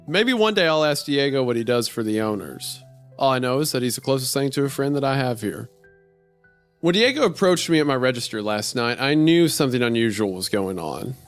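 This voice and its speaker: A man reading as a deadpan gas station clerk, telling a strange story in the first person in a dry way. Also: medium pitch, brisk. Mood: dry, puzzled. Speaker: podcast host reading a horror story aloud. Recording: music behind the voice.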